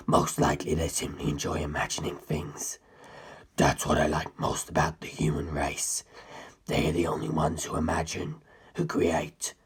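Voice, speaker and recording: A man voicing an ancient, menacing inhuman being in a dramatic story, gravelly and breathy, with grandiose, condescending speech. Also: full character voice. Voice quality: very deep, gravelly, husky, breathy. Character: ancient, menacing, superior male non-human predator musing on humans. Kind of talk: dramatic audiobook character reading.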